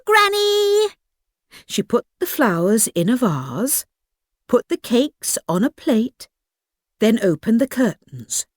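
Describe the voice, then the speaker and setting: Slow, very animated woman storyteller. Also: mid-range voice, no room sound, clean studio sound. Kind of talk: expressive children's audiobook narration.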